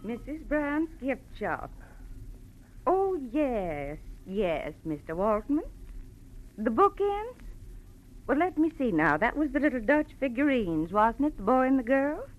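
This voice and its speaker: A woman's voice: sits high, sing-song. An actress playing a sweet, brave gray-haired mother, anxious and hesitant, in an old-time radio drama. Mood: flustered, polite. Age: middle-aged. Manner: hesitant, halting, genteel. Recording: muffled vintage radio recording.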